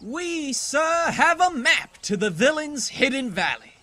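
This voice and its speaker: A man's voice: extremely high pitch, very animated, slow pace, bright, forceful tone, heavily acted. A man voicing a pompous, heroic Old West marshal in a grandiose, theatrical way for a fan comic dub. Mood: grandly heroic.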